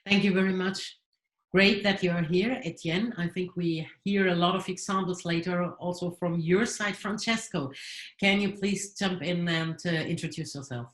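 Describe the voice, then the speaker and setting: A woman's voice: fairly low pitch, normal speed. A woman moderating an online panel, hesitant and full of fillers as she introduces speakers. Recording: roomy echo.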